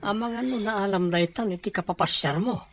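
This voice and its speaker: A woman's voice: medium pitch, a little fast, a little hiss or hum, natural voice. A woman playing a caring, practical workmate with an Ilocano accent in a radio drama. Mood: concerned.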